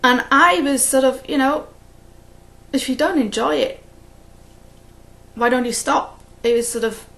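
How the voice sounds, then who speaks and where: A woman's voice: sits high, measured pace, long pauses, a bit bright. A woman hosting a cross-stitch video, chatting casually and rambling, often laughing at her own stories. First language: English. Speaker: flosstube host. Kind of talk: casual chat to camera.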